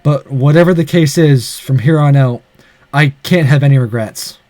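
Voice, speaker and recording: A man's voice: a little high, normal speed, mellow, no room sound, lightly acted. A man voicing a determined but anxious young male visual novel hero in earnest, dramatic inner monologue.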